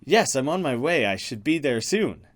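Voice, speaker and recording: A man's voice: mid-range voice, sing-song, normal speed, close to an everyday voice. Man reading as a playful, loyal young woman. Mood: reassuring. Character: young woman, loyal teasing friend in horror story. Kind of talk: visual novel dialogue read aloud.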